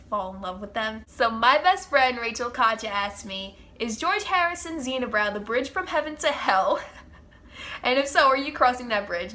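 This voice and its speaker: A woman's voice: fairly high pitch, pushed and bright. A young woman with a congested, stuffy nose, chatting as host of her own Q&A vlog with gushing fan enthusiasm. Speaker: channel host. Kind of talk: casual Q&A vlog chat.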